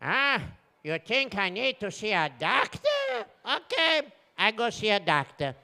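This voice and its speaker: A man's voice: high voice, huge pitch swings, measured pace, creaky, sharp tone, heavily acted. A man voicing a hard-of-hearing bus driver, puzzled and stubborn, arguing in broken grammar for a told story. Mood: bewildered. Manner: broken grammar, bewildered, argumentative.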